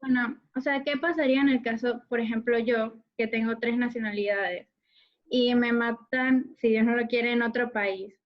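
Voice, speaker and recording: A woman's voice: on the high side, steady tone, normal speed. A young woman with a Panamanian accent, a student talking casually in an online class. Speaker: law student. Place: video call. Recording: dull lo-fi video call audio.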